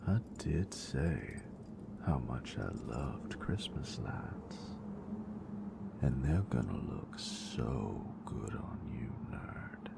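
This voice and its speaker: Very deep, gravelly cocky bully boyfriend, acted by a man. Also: lively intonation, very slow, full character voice. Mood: low, possessive. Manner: teasing, slangy, murmuring, often dropping toward a whisper. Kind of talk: intimate romantic audio roleplay monologue. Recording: noticeable background noise.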